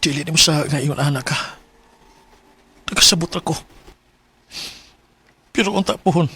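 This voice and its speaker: A man's voice: fairly high pitch, normal speed, sharp tone, breathy edge, heavily acted. A man acting an earnest, plaintive young boyfriend in a radio drama, with a Cebuano Filipino accent. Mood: heartbroken, resigned.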